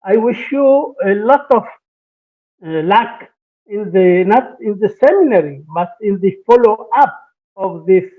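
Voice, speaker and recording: A man's voice: high voice, lively intonation, measured pace. A man from Lebanon, a priest and professor giving a hesitant conference talk full of fillers and restarts. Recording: tinny, phone-like conference stream.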